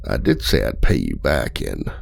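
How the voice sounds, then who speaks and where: A man's voice: extremely low pitch, huge pitch swings, moderate pace, creaky, faint music behind, full character voice. An actor playing a charming, manipulative male outlaw and escape artist, with a flirty, teasing and smugly condescending manner in an ASMR roleplay.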